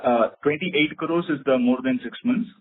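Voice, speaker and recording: Mid-pitched Indian finance man on phone. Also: moderate pace, breathy edge. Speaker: company finance executive. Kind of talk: answering investor questions. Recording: tinny narrow phone line.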